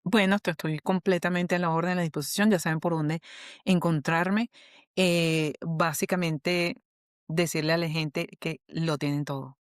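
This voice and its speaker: A Venezuelan woman, a human resources specialist, talking as a guest in an interview, animated and often checking in with "¿ok?". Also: low voice, moderate pace, no echo, clean studio sound. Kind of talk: conversational interview.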